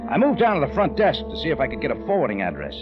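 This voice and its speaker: A man's voice: fairly high pitch, wildly swooping pitch, racing. An actor playing a tough, wry detective who narrates his own case in a 1940s radio style, clipped and wisecracking. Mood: wry narrating. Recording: dull, lo-fi old radio with music.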